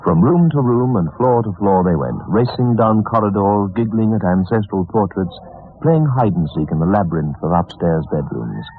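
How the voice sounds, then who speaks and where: An actor as the grave storyteller of a radio mystery, narrating in a measured, literary and dramatic manner. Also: male voice, fairly low pitch, lively intonation, a little hiss or hum. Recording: muffled, dull vintage radio recording.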